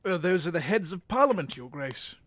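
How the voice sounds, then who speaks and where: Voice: mid-range voice, big pitch swings, brisk, fairly bright tone. Meek, hesitant manservant. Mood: deferential. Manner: hesitant, obsequious, formally polite. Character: meek, long-suffering, deferential male manservant. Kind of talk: comedic audio drama acting.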